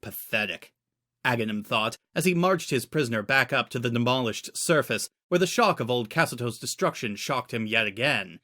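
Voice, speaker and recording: A man's voice: mid-range voice, expressive, a little fast, hardly any pauses, bright, forceful tone. A man narrating a story in the style of a dramatic audiobook reading.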